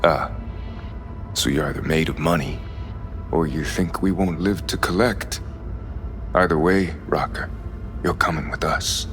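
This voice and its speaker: An actor playing a cool, imposing mercenary in a cyberpunk video game, dry, assertive and businesslike. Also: male voice, a bass voice, unhurried, pauses often, fairly bright tone, soft background music. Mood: shrewd, assertive. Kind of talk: video game drama acting.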